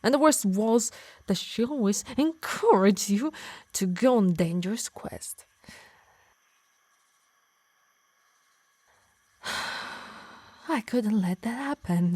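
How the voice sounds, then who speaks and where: A woman performs an obsessive harpy woman for an ASMR roleplay, speaking very close and dry with a light Italian accent. Her breathy voice drifts into whispers and slow, menacing emphasis, tender and possessive at once. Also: mid-range voice, lively intonation, warm tone, no echo. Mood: jealous, bitter. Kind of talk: intimate ASMR roleplay acting.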